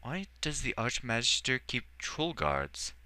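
Narrator's high-pitched young boy, timid and eager, breathless earnest asking. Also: very animated, a little slow, sharp tone, no echo, exaggerated voice. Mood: timid, polite.

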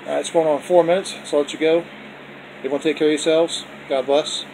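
Brief: folksy male home vlogger at the dinner table, phone-recorded, air-conditioner hum clearly audible behind him. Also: on the high side, steady tone, fast, a little mellow, low-quality recording. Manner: folksy, repetitive, with religious blessings. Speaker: vlog host showing his dinner. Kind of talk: casual off-camera food vlog. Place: indoors at home, dining table.

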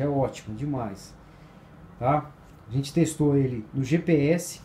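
A man's voice: on the high side, warm tone. A man presenting a product casually and informally for a shop, repeating himself for emphasis. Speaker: motorcycle accessory shop presenter. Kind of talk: casual product review to camera. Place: indoors at a table by a large sunny window. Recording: background ambient noise.

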